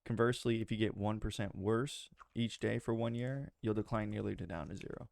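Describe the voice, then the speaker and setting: A man talking casually in a book discussion, relaxed and hesitant, with frequent ums. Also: lowish voice, brisk, gravelly, dry recording. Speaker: book club member.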